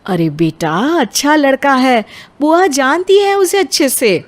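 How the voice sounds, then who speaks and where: A woman's voice: high voice, sing-song, unhurried, voice pushed up. A woman voicing a persuasive middle-aged mother in a family cartoon. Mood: coaxing. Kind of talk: Hindi cartoon family drama dubbing.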